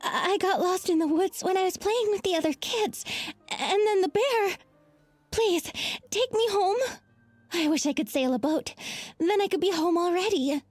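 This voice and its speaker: A very high-pitched, pleading little girl. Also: expressive, normal speed, faint music behind. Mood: shaken, sorry. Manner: pleading, childlike. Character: frightened, innocent little girl lost in the woods. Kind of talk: dramatic game voice acting.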